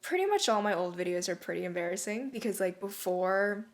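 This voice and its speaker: A young woman co-hosting a casual podcast chat, talking calmly and laughing often between her phrases. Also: medium pitch, a little fast. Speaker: podcast co-host.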